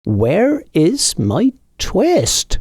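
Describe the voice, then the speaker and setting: A poor old tailor, put on by a male reader, who frets over his unfinished work in a high, quavering mutter. He drags out his worries slowly and sounds husky, close and dry, like a storybook told at the fireside. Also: huge pitch swings, darkish tone, no room sound, heavily acted. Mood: lamenting. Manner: anxious, slow lamenting mutter.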